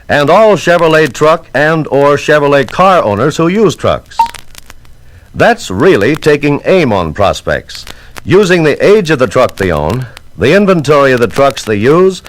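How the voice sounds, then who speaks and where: A man's voice: mid-range voice, low-quality recording. An American man reading scripted 1960s sales training narration in an emphatic, instructional manner.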